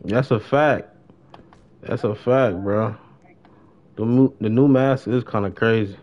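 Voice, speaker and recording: A man's voice: fairly low pitch, slow. Young american guy streaming a game, slangy n chatty, kinda mumbling to himself, dull sounding mic. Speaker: live streamer. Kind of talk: casual gaming livestream chat.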